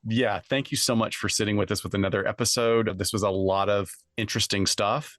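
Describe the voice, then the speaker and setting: Male podcast co-host, somewhat low pitch, wry and conversational, close dry recording. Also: fairly even intonation, brisk, a bit bright, no room sound. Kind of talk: casual podcast discussion of true crime.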